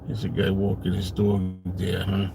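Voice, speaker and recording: A man's voice: sits low, monotone, moderate pace, vocal fry, music in the background. A middle-aged man with a Brooklyn accent, chatting casually from his car and answering viewers in a rambling, self-deprecating way. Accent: New York, Brooklyn. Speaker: live stream host. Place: parked car.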